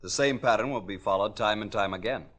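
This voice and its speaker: A man's voice: lowish voice, fairly quick, fairly bright tone, lightly acted. An American man playing a self-assured psychology professor in a 1950s radio drama, emphatic and certain. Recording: vintage radio broadcast.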